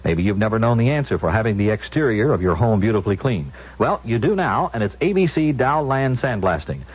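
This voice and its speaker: A man with a husky voice reading a scripted radio commercial as an announcer. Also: mid-range voice. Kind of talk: scripted vintage radio ad read. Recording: muffled vintage radio broadcast.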